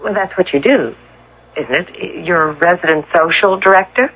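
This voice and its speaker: A woman's voice: lowish voice, moderate pace, slightly rough. An actress playing a polite, anxious young woman stranded at a resort, in a radio drama. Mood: puzzled. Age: young adult. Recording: muffled old radio recording, faint noise.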